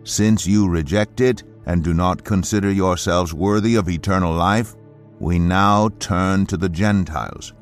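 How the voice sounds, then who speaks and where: A man's voice: deep voice, steady tone, a little slow, soft background music. A man reading scripture as an audio Bible narrator, in a scripted narration style.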